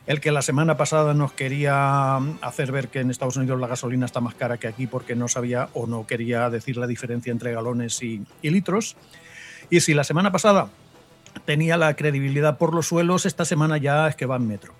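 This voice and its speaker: A man's voice: medium pitch, steady tone, fast talker, soft background music. A man from Spain co-hosting a casual radio show, easygoing and joking. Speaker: radio co-host.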